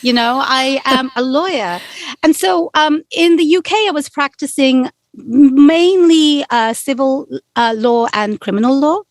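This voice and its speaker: Animated London woman, high and dry. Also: a little slow, no room sound. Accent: London English. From London, England. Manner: warm, animated storytelling with laughs. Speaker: immigration lawyer, guest. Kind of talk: casual interview talk.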